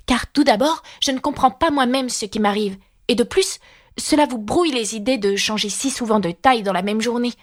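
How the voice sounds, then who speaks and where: A woman's voice: fairly high pitch, very animated, fairly quick, pitched above the performer's own voice. A woman playing a polite, earnest young storybook girl who explains herself at length and is easily puzzled.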